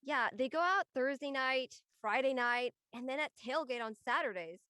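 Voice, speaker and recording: A woman's voice: high-pitched, animated, normal speed, sharp tone, no echo, studio quality. A young woman acting a sincere, conversational college student in an online drama. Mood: reflective, subdued. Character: earnest young Filipino-American college woman, frank among friends.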